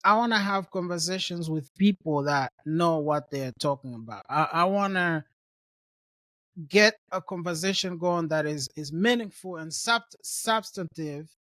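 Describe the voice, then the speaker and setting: A man's voice: a little high, measured pace, fairly bright tone, no echo. A man from Rwanda hosting a podcast, talking in a friendly, casual way and often repeating words as he thinks. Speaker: podcast host. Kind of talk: conversational interview.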